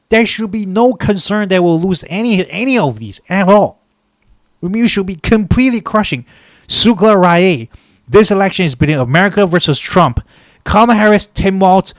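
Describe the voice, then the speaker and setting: Male stream host, high-pitched and impassioned, dry close-miked sound, reads chat names between rants. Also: no room sound. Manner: impassioned, repetitive, thanks chat viewers by name. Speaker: political livestream host. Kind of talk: heated political rant on a livestream.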